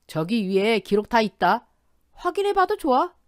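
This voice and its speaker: A cruel, sneering older stepfather who taunts without a shred of guilt, as a woman narrator puts him on. His voice sits high and lively for a man, swinging up and down as he jeers. The recording is close and dry, an audio-drama read. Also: moderate pace, dry recording. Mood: cold sneering. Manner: mocking, taunting.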